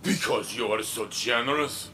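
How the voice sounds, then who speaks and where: An actor playing a weary, proud city leader in a game cutscene, speaking in a stern, measured, emphatic way. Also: male voice, mid-range voice, background noise, natural voice. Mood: challenging. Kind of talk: dramatic game cutscene acting. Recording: loud music under the voice.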